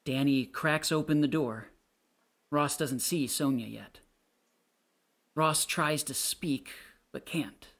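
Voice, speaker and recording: Slow, measured male narrator. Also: a little high, fairly bright tone. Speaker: narrator reading stage directions. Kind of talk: scripted narration.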